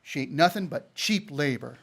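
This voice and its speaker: A man's voice: on the high side, lively intonation, normal speed, gravelly, fairly bright tone, full character voice. A man playing an earnest, stubborn cowboy in love, plainspoken and rustic, in a radio Western drama. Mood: defiant. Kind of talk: old-time radio Western acting.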